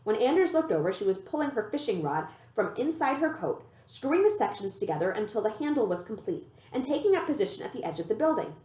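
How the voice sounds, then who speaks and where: A woman reading a children's novel aloud in an expressive, storytelling manner. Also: on the high side, fast talker, few pauses. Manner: animated storytelling, acts out the dialogue. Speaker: library reader of children's books. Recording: echoey room.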